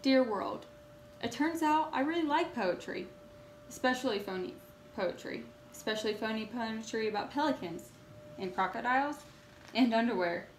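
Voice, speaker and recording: A woman reading as an earnest, indignant young schoolchild in a storybook, with expressive emphasis. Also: on the high side, moderate pace, natural voice. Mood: cheerful, warming up. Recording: echoey room, faint background noise.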